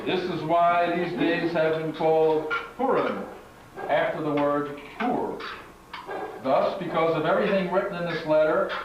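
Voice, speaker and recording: A man with a slightly high voice reads scripture aloud as a narrator at a live event. His delivery is measured and declamatory. The recording is very echoey and distant, with muffled sound and clear noise. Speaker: narrator and master of ceremonies at a congregational puppet show. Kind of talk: live scripture reading to an audience. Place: large echoing room at a live congregational event. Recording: echoey hall from far off, muffled, noisy.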